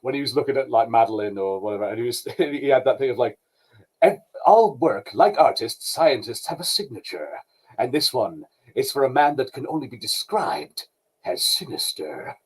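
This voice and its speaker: A man's voice: medium pitch, animated, normal speed, husky, rough recording. A man talking as a podcast co-host in a casual review chat, hesitant and colloquial as he circles back to correct himself.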